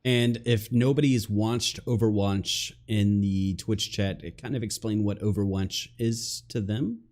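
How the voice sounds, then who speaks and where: A man hosting a casual online interview, asking questions in a relaxed way and reading out questions from viewers. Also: lowish voice, measured pace. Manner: relaxed, reads chat questions aloud. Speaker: interview host.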